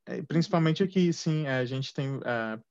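A man's voice: fairly high pitch, animated, unhurried, a little mellow, no echo. A man chatting casually and a little hesitantly, over muffled online call audio. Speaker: guest, immigrant living in Canada. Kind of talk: casual conversational interview.

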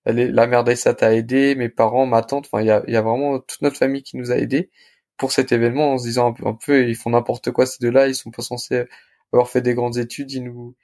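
A young adult man speaks as a guest in a casual interview. His voice sits at a medium pitch. He talks informally, with halting, hesitant phrasing as he recounts his experience. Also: flat delivery, darkish tone. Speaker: restaurant co-founder, interview guest.

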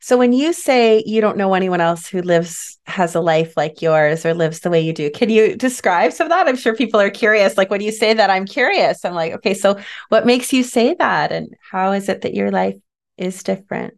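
A woman's voice: medium pitch, lively intonation, moderate pace. Affirming woman podcast host. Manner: warm, affirming, rambling questions. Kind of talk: podcast interview.

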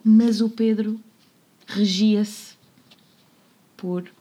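A woman with a European Portuguese accent, co-hosting a podcast and telling a history story in a playful, jokey way, slipping into quiet asides. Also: mid-range voice, fairly even intonation, very slow. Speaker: podcast co-host. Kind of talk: casual storytelling about history.

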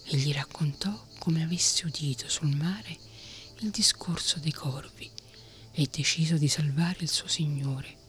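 A woman whispering a fairy tale aloud as a soft ASMR reading. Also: low-pitched, unhurried, soft background music. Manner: whispering throughout. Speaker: storyteller.

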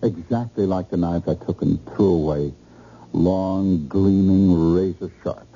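An actor playing a troubled, evasive boyfriend in an old-time radio drama, speaking in a halting, uneasy manner. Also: male voice, sits low, dark tone. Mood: haunted, fearful. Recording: muffled noisy old radio recording.

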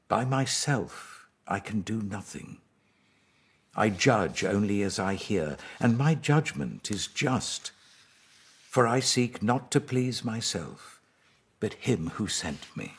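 A British man reading the words of a solemn, authoritative male teacher from scripture, with a husky voice and a grave, deliberate delivery. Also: lowish voice, full character voice.

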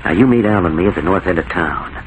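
A deep-voiced frontier swindler played by a man, folksy and conniving in the style of 1940s radio drama. Clear background noise from an old broadcast surrounds him. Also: fast talker, natural voice. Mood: falsely helpful. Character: scheming, smooth-talking frontier swindler, bossy to henchman. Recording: noisy vintage radio recording.

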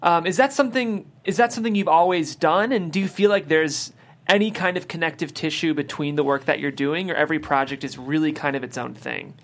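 Male interview host, conversational, brisk delivery, lightish pitch. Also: a bit bright. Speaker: host of a table read show.

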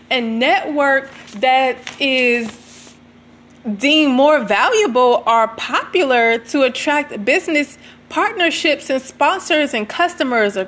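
Slow, chatty woman speaker. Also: high-pitched, a bit bright. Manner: chatty, addresses listeners directly. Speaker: entrepreneur and stylist guest speaker. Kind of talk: motivational talk to an audience.

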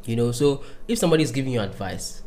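A man hosting a podcast, asking questions in a relaxed way full of "you know" and "right". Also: medium pitch, fast talker. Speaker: podcast host. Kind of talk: casual interview chat.

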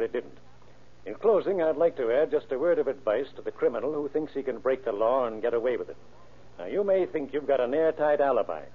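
A man's voice: mid-range voice, husky. A man giving a formal, stern scripted address as a police chief on 1930s radio. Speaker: police chief, guest speaker. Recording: tinny old radio recording.

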